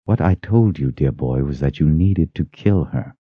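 Man voicing a menacing, scornful witch woman, swooping pitch. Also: expressive, moderate pace, husky, dry recording, phone-quality sound, heavily acted. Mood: cold menace. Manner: hissing threats, accusing rhetorical questions.

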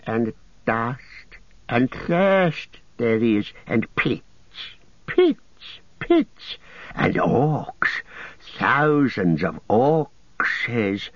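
A pitiful, half-mad creature whines and shrieks, leaping wildly between high and low. The voice is breathy and drawn out. It sits in a dull, dry recording. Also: male voice, a bit bright, dry recording, full character voice. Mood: whispering fearful. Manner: whimpering, shrieking, hissing. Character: wretched creature, split-minded, whining and hissing.

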